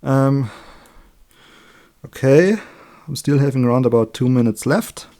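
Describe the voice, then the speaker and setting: A man with a German accent, a native German speaker, giving an informal hands-on electronics tutorial in a chatty, halting way, correcting himself as he works. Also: medium pitch, dark tone. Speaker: electronics hobbyist demonstrating a circuit build. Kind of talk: informal hands-on tutorial, explaining while working.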